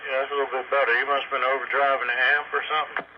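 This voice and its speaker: A man's voice: mid-range voice, little pitch movement, racing. A man chatting in a folksy, teasing way over a two-way radio. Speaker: radio operator. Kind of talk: casual two-way radio chat. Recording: muffled noisy two-way radio. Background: radio static.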